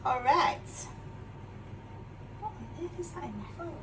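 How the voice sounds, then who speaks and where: A woman's voice: high voice, lively intonation, a little slow. Chatty older Yorkshire woman in her kitchen. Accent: mixed northern English, Yorkshire. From Yorkshire, England. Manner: chatty, self-deprecating, asks tag questions. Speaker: cooking vlogger. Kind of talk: casual chatty cooking demonstration. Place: home kitchen. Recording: distant mic with clear background noise.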